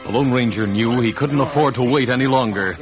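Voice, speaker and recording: A man's voice: medium pitch, fairly quick. A man narrating a western adventure serial in a dramatic, scripted old-time radio style. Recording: muffled vintage radio recording with music. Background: music.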